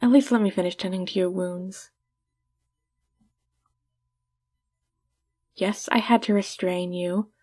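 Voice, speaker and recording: A woman acts out a haughty villainess with a mid-pitched voice. She speaks intimately to the listener, teasing and talking down, with a secretly caring streak. It is an ASMR roleplay performance. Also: steady tone, moderate pace, a little mellow, natural voice. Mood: scolding, exasperated.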